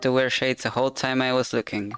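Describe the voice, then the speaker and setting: A man's voice: mid-range voice, level tone, fast. A man giving casual reaction commentary as a streamer playing a video game, with music behind him.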